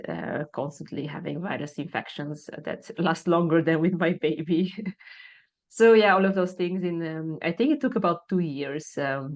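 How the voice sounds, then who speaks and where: Low gravelly Bulgarian-accented woman. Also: lo-fi sound. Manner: hesitant, many ums and uhs, soft laughs. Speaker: guest. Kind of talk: conversational interview answers.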